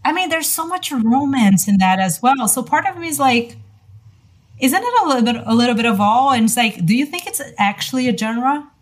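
Woman, slightly high pitch, from Brazil, casual podcast co-host, inquisitive, conversational. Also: animated, fairly quick. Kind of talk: casual discussion.

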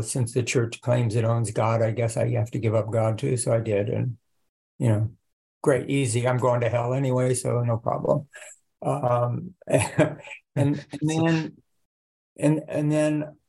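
An older man whose first language is Italian, a professor telling his life story on a video call in an unhurried, reflective way with soft laughs. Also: medium pitch, vocal fry, husky. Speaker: guest, religion professor. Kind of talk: casual conversation.

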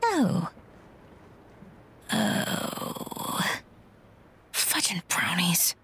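A woman's voice: fairly low pitch, sing-song, very slow, fairly bright tone, slightly rough, heavily acted. A woman playing an awkward, easily mortified young aspiring actress in a comedic audio drama, flustered and dramatic. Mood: indignant, shocked.

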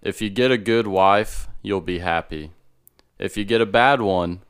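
Young male podcast co-host, low smooth voice, musing and rambling. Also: unhurried. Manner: thoughtful, rambling explanations. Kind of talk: casual chat.

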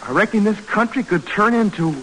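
A man's voice: high voice, fairly quick, a bit bright. An actor playing a plain-spoken, folksy American frontier expedition man in 1940s radio style. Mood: awed. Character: eager, plain-spoken Western expedition companion of the Major. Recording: noisy vintage radio drama recording.

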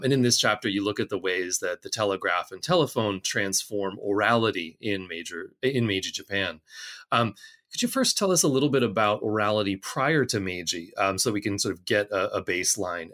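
Bright-toned hesitant podcast host man. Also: fairly low pitch. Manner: hesitant, many fillers and restarts. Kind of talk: academic podcast interview.

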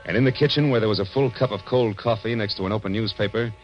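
A man plays a world-weary American private eye in a 1940s radio drama. His voice is low, his delivery dry, clipped and wisecracking. The old recording is dull and muffled, with dramatic music around it. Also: quick pace, natural voice. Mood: wry narrating. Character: world-weary, wry hard-boiled private detective, adult man. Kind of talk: 1940s radio drama acting, noir first-person narration.

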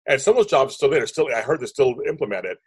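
A man in his fifties with a deep voice, speaking as a guest in an interview, rambling and emphatic, often restarting his sentences. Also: lively intonation, fast talker, slightly rough, no echo, studio quality. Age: 50s. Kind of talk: animated conversational interview.

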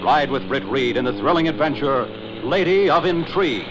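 A man's voice: mid-range voice, animated, moderate pace, noisy background. A man narrating as a 1940s radio announcer in a dramatic, declamatory style, on a dull old recording.

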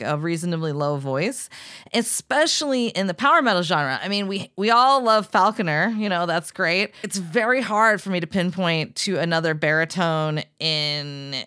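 A woman hosting a podcast in casual chat, with a low voice and an enthusiastic, chatty way of asking questions. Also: sharp tone, no room sound, clean studio sound. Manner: enthusiastic, chatty, many fillers. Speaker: podcast host.

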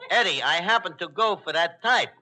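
A man's voice: sits high, lively intonation, brisk, crowd noise. An actor playing a brash, flirtatious working-class tavern man with a New York accent, mangling fancy words in a 1940s radio comedy. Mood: smitten. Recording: noisy, clicky old radio broadcast.

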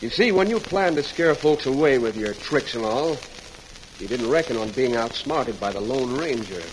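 A man's voice: medium pitch, animated, normal speed, close to an everyday voice. Frontier lawman, played by a man: American, resolute, plain cowboy talk, mid voice, slightly hissy old radio. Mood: satisfied, firm. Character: folksy, resolute male frontier sheriff. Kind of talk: old-time radio Western acting. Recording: old radio recording, faint crackle.

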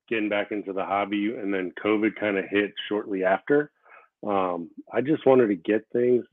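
A man hosting a casual interview show, talking in a relaxed, rambling way with many fillers; Korean was his first language. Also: lowish voice, no room sound. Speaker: podcast host. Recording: tinny phone-like line.

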